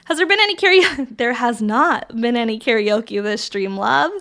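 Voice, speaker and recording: A woman livestreamer chatting casually with viewers, reading their messages aloud, swearing freely and laughing often, her voice raspy from allergies. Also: a little high, expressive, moderate pace, a bit bright.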